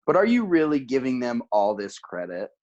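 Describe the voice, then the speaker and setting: A man's voice: medium pitch, very animated, moderate pace, a little mellow, muffled recording. A man on a podcast panel, explaining and debating in casual conversation.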